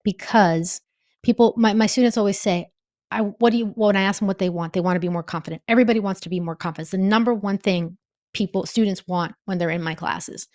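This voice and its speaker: A woman's voice: mid-range voice, fast talker, a little mellow, dry recording. Earnest teacherly woman, dry sound. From born in Costa Rica, raised in New Jersey. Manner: earnest, emphatic teacherly explaining. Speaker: guest, professor teaching on-camera confidence. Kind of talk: interview answers.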